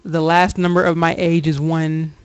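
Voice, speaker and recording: A woman's voice: sits low, little pitch movement, normal speed, clean tone, no echo, muffled recording. A woman in her thirties with a Kentucky accent, co-hosting a podcast and telling stories in casual, laughing chat. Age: 30s. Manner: laughing, chatty storyteller. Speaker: podcast co-host.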